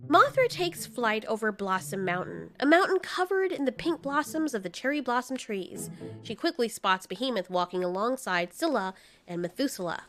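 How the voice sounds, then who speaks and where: A woman's voice: fairly high pitch, animated, moderate pace, fairly bright tone, soft background music. A woman giving scripted story narration as narrator and host of an audio series.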